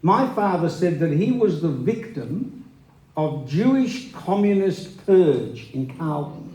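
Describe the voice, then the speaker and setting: Higher-voiced man, echoey hall. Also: big pitch swings, slow, warm tone. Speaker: performer in a dramatic reading. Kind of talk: scripted dramatic reading of a memoir. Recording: echoey live hall recording.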